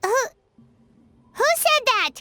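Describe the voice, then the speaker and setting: A woman voicing a tiny, childlike fairy companion in a video game, squeaky, cheeky and outspoken. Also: big pitch swings, slow, very noisy, full character voice. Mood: cheeky, indignant. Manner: squeaky, cheeky, speaks of self in third person. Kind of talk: video game character acting.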